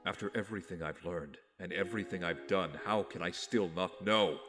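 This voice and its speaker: A man playing a wounded, despairing young vigilante in an audio drama, speaking in a weak, weary, brooding and halting way. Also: deep voice, lightly acted. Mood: anguished, frustrated. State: weak, badly wounded (acted). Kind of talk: fan audio drama inner monologue. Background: music.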